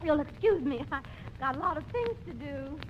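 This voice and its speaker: An actress playing a brisk, matter-of-fact female companion in 1940s radio drama style. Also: sits high, expressive. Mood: brisk, dry. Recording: muffled, noisy 1940s radio broadcast.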